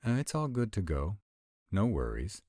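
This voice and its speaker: A man's voice: on the low side, huge pitch swings, normal speed, a little mellow, no echo, clean studio sound. A man voicing supernatural male characters at a dinner party in a theatrical roleplay audio drama. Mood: flustered, defensive.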